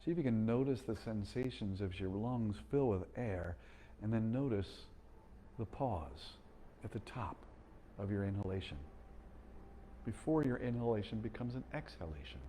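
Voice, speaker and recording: A man guiding a slow breathing meditation, speaking calmly and gently with long pauses. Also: on the low side, slight background noise. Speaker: meditation guide.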